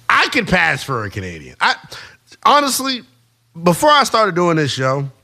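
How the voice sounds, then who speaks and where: High-pitched, animated sports radio host. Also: male voice, unhurried. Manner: playful, theatrical, teasing banter.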